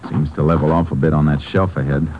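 An actor playing a steady, authoritative Texas Ranger, terse and matter-of-fact, in a 1950s radio drama. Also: male voice, very deep voice, quick pace. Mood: watchful. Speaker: lead character and narrator. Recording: tinny old radio recording, some noise.

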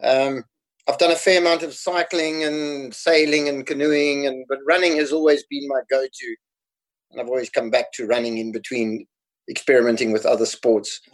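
A man's voice: mid-range voice, level tone, moderate pace, low-quality recording. A South African man in his fifties, a guest in casual conversation, explaining things patiently and laughing easily. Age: 50s. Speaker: podcast guest.

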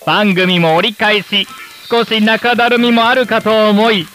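An actor playing a formal, polished old-time television presenter addressing the nation in a radio drama. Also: male voice, sits high, fairly even intonation, a little slow, sharp tone, soft background music. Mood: triumphant. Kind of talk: broadcast announcing in radio drama.

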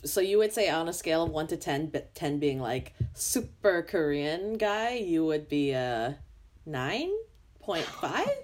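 Expressive low-voiced woman host. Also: measured pace. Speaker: podcast host. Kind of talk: casual interview chat.

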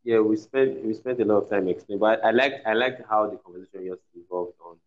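A man's voice: fairly low pitch, fast. A man speaking as a podcast guest in casual chat, blunt and emphatic, swearing and calling his friend "bro". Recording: dull lo-fi sound.

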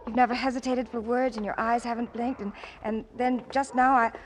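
Actress as a high-voiced, tender young sweetheart. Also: female voice, flat delivery, fairly quick, slight background noise, lightly acted. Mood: shaken, admiring. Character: warm-hearted young woman, tender and anxious sweetheart. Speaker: radio drama actress. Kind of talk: 1940s radio drama acting. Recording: old radio recording, faint music.

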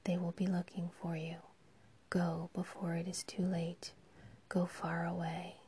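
A woman's voice: on the low side, monotone, a little slow, dark tone, lightly acted. A woman voicing a devoted young peasant woman, speaking in urgent, pleading whispers.

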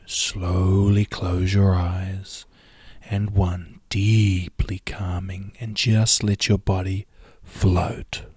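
A man guiding a hypnosis and relaxation session in a soft, soothing, often half-whispered delivery. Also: lowish voice, breathy edge, no echo, clean studio sound. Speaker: hypnotherapy guide. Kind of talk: guided hypnosis and relaxation script.

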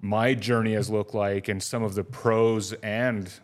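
Low-voiced, chatty male podcast host. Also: steady tone, a little slow. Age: 40s. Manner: easygoing and chatty, with casual swearing. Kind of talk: casual interview chat.

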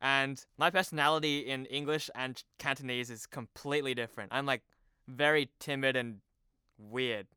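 A young man talks casually as an interview guest, with a bright, forward voice that is exceptionally clean and smooth. The sound is dry and close, and his manner is informal and a little self-deprecating. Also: mid-range voice, fairly even intonation, moderate pace, no room sound. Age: young adult. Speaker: podcast guest, TV promo producer. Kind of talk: casual chat. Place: remote video call.

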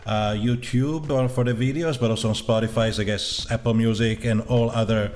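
A man hosting an interview, enthusiastic but halting, with many uh fillers. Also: mid-range voice, fairly even intonation, darkish tone, a little hiss or hum. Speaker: interview host. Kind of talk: casual interview chat.

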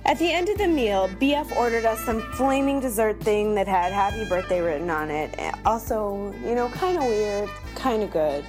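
A woman's voice: medium pitch, lively intonation, normal speed, hardly any pauses, over music, natural voice. A woman performing a dramatic comic reading as an exasperated, snarky young female restaurant reviewer, theatrical and emphatic. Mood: sardonic. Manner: melodramatic, sardonic, emphatic.

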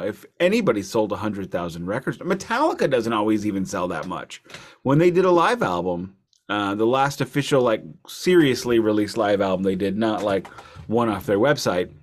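A man co-hosting a music podcast, talking in a casual, explanatory, storytelling way. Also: mid-range voice. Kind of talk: casual album discussion.

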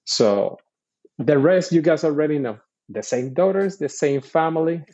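A man's voice: fairly high pitch, lively intonation, normal speed, warm tone, lo-fi sound. A man in his forties from Puerto Rico, a podcast guest and Army veteran who explains things earnestly and patiently in casual talk. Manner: earnest, explains patiently with many "you know" fillers.

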